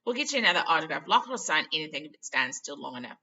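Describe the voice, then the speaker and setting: A woman acts out an exasperated schoolboy from a storybook. He is blunt and scornful, with a forceful, gravelly edge, heard on a slightly dull recording. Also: low voice, very animated, very fast, heavily acted. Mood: scornful, impatient.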